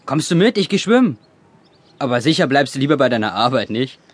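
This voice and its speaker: A man's voice: mid-range voice, very animated, a little fast. A male performer playing an eager, teasing schoolboy in a German children's audio drama. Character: eager, teasing schoolboy, easily talked round.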